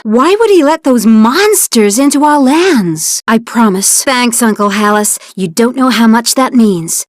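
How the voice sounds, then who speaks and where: A woman's voice: a little high, no echo. A woman voicing a headstrong young elf princess warrior in a game, talking in a defiant, resolute way with dry quips. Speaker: voice actor. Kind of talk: game character voice acting.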